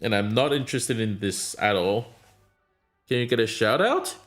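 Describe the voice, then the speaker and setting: A man's voice: on the low side, expressive, normal speed, fairly bright tone, faint music behind. A man streaming a mobile game, chatting casually and answering his chat in a rambling way. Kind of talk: casual live-stream chat while gaming.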